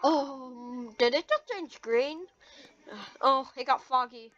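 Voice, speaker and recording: An excitable gamer improvising a casual, dramatic video game roleplay. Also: high-pitched, slow. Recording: dull lo-fi mic with clear background noise.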